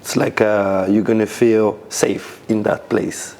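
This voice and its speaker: Man, low voice, gravelly, rambling restarts, casual interview. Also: little pitch movement, takes it slowly, a little mellow. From Senegal, raised in France. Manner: loose, searching phrasing with many restarts. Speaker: dancer, DJ and event founder.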